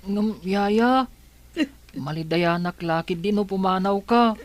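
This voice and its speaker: A woman's voice: mid-range voice, slow, natural voice. A woman acting a pleading member of a household in an Ilocano radio drama. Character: pleading member of household, begging nanny to stay.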